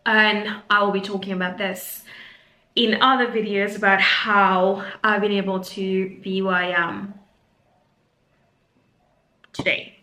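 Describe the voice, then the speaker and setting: Woman, Mauritian accent, Creole native speaker; reflective unscripted vlog, bright voice, roomy sound. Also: medium pitch, little pitch movement, slow pace, long pauses. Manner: reflective, earnest, frequent fillers and restarts. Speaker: career coach and vlogger. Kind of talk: unscripted talking-to-camera vlog. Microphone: camera mic.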